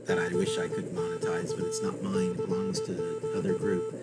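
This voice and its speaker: A low-pitched man talks casually, as in a club chat among hobbyists. Loud plucked guitar music plays along behind his voice. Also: flat delivery, fairly quick. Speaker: amateur radio club member and presenter. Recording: music playing over the voice.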